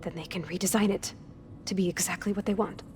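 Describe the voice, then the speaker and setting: A woman's voice: on the low side, fairly even intonation, a little mellow, a little hiss or hum, natural voice. A woman playing an anxious, bookish young clone. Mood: earnest, uneasy. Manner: halting, clinical, precise wording. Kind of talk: dramatic video game acting.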